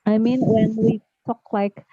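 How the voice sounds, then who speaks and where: A woman's voice: a little high, level tone, measured pace, husky, dry recording, soft background music. A woman, a participant in an online class, speaking hesitantly and repeating herself. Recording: dry tinny phone-like audio.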